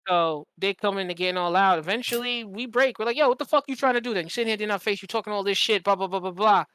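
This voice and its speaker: This podcast host has a bright, clear voice in a very dry recording. The talk is casual and animated storytelling, full of slang and swearing, with quoted lines acted out in conversation. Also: mid-range voice, fast, no room sound. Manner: animated, profane, slangy, acts out quoted dialogue.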